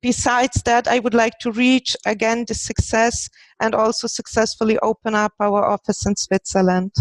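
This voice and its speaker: A woman's voice: on the high side, level tone, moderate pace, no echo, poor audio. A woman in her thirties from Germany, answering conversationally as a recruiter guest on a podcast. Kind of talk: conversational interview. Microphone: headset on a video call.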